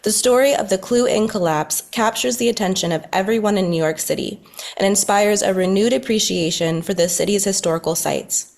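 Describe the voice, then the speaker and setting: A woman's voice: medium pitch, a little fast, creaky, a little mellow. A woman reading scripted narration and stage directions at a table read.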